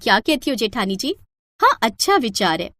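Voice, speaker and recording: A woman's voice: a little high, very animated, moderate pace, pushed and bright. A woman voicing gossipy young daughters-in-law, sarcastic and conspiratorial, in a moral story. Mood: scheming. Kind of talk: acted moral-story dialogue.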